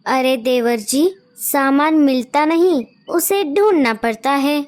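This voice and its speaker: A woman voicing a sharp-tongued female bird who acts as the sister-in-law in a children's cartoon, tart and scolding. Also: high voice, slow pace. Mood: teasing.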